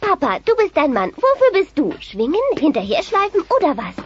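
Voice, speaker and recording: A woman playing an excitable, cheeky, boy-crazy teenage dinosaur daughter in a German comedy audio play, with a girlish voice. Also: high voice, brisk. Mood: eager. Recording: dull tape transfer with hiss.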